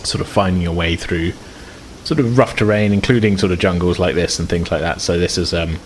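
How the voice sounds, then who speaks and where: A man's voice: deep voice, steady tone, fairly quick, slight background noise. A man casually narrating a tabletop roleplaying game as game master, in a conversational, hedging way.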